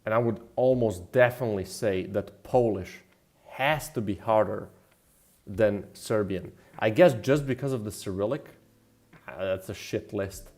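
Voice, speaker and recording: A man chatting casually as he works on a livestream, rambling and thinking out loud. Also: lowish voice, a little slow. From Slovenia. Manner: rambling, thinking aloud, mildly profane. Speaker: game developer and streamer. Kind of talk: casual livestream chat while working. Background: background music.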